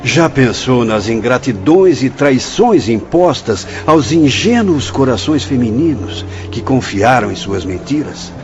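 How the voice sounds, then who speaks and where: A man's voice: medium pitch, close to an everyday voice. An actor in a Brazilian radio drama playing a grave, fatherly but stern spirit director, speaking formally with a Brazilian accent. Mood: indignant. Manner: solemn, declamatory, formal. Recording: dull lo-fi audio with music behind.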